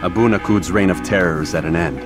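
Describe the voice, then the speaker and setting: A man's voice: low-pitched, fast, close to an everyday voice. A man playing a stern young male assassin in a video game, speaking in clipped, cool, restrained lines. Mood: grave. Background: orchestral game music.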